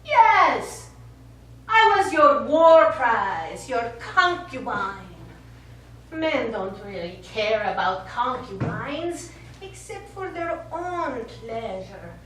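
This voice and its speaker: An actress on stage playing a middle-aged Italian war survivor, bitter and wounded, speaking deliberately and accusingly. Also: female voice, high-pitched, big pitch swings, a crowd in the background, full character voice. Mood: furious. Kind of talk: stage play acting. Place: small theatre stage. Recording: echoey theatre stage, distant mic, faint noise.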